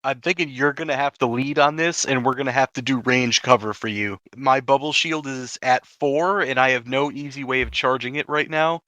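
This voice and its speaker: A man's voice: medium pitch, normal speed, hardly any pauses, sharp tone, no room sound. A man chatting casually as a player in a tabletop role-playing game.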